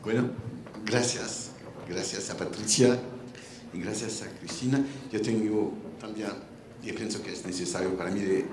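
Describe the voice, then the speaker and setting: An older man with a French accent, speaking on a round-table panel on a large indoor stage, searching for words with restarts, into a handheld mic. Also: on the low side. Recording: roomy hall with faint noise.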